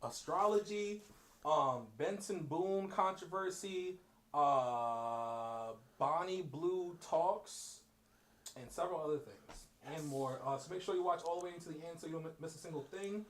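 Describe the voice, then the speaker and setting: A young man hosting a casual podcast, animated and joking, with hype-style intros. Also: fairly high pitch, unhurried. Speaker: podcast host.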